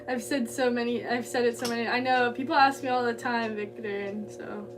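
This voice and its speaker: A young woman from Southern California chatting casually and giggling as she reads viewer comments while she works clay. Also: fairly high pitch. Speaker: livestreamer. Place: home pottery studio. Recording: distant mic with music playing behind.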